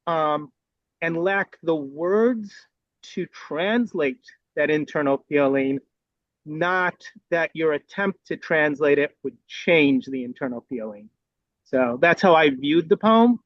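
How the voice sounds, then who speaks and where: A man sharing reflective thoughts in a group discussion, measured, with many pauses. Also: a little high, dry recording, muffled recording. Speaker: discussion participant.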